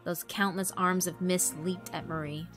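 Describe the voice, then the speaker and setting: Bright-voiced woman narrating a dramatic story. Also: on the low side, fairly even intonation, moderate pace. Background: background music.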